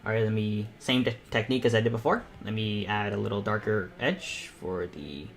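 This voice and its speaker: A man's voice: on the low side, fairly even intonation, a little fast, vocal fry. A young man hosting a casual painting livestream, rambling and thinking aloud as he works. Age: teens to young adult. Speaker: livestream host and self-taught painter.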